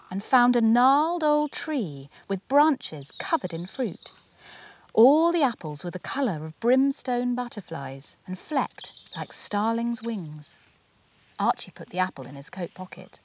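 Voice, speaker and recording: Expressive woman reading a children's storybook. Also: on the high side, a little slow. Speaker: author reading their own picture book.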